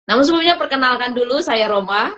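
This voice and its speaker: Woman cohosting a bible study stream, kinda high voice, chatty, laughs a lot. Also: animated, fast, sharp tone, muffled recording. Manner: chatty, laughs often, restarts sentences. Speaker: co-host of online Bible study. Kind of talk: casual live-stream hosting.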